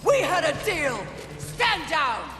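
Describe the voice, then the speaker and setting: A woman's voice: high voice, very animated, slow, exaggerated voice. A woman playing a proud, fiery, imperious warrior horseman of the apocalypse in a video game. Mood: furious. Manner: imperious, combative. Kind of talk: dramatic video game voice acting. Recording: echoey reverberant hall, music behind.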